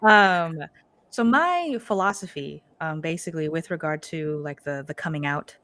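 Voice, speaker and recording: A young American woman speaking as a podcast guest in a relaxed chat, full of fillers and soft laughs. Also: low voice, normal speed. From United States. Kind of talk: casual interview chat.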